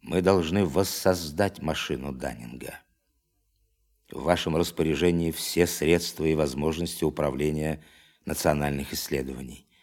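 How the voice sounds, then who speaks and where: A formal man in charge in a radio drama. His voice is extremely deep, and he delivers official, authoritative statements as if briefing a team. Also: moderate pace, a little mellow. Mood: formal, commanding. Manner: official, declarative. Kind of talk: radio play acting.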